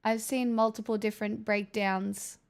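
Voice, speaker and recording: A woman's voice: mid-range voice, little pitch movement, moderate pace, vocal fry, smooth. A young woman hosting a casual livestream, chatting, joking and swearing as she answers messages from chat. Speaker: livestream host reading chat.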